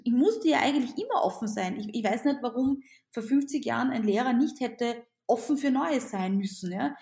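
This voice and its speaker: Animated Austrian woman in echoey room. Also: a little high. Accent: Austrian German. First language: not German. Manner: animated, repeats words and restarts. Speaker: teacher, interview guest. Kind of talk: conversational interview answer.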